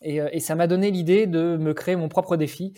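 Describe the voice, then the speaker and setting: A man's voice: on the high side, fairly even intonation, brisk, warm tone. A man in his forties from France, a podcast guest talking casually with many fillers and restarts. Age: 40s. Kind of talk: casual interview talk.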